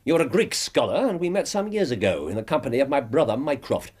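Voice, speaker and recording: An actor playing a restless, incisive English detective in a radio drama, speaking in a precise, dry, clipped way. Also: male voice, medium pitch, big pitch swings, quick pace, darkish tone, slightly rough. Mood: brisk, incisive. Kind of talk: British radio drama acting.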